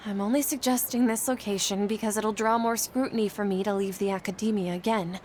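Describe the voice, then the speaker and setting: A woman's voice: medium pitch, fairly even intonation, brisk, darkish tone, a little hiss or hum, close to an everyday voice. An actress playing a guilt-ridden, earnest woman scholar in a video game, speaking expressively and a little hesitantly. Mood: earnest, careful.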